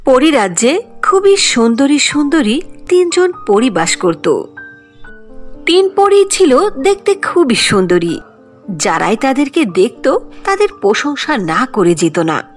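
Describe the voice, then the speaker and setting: A woman narrating a scripted fairy tale. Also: high voice.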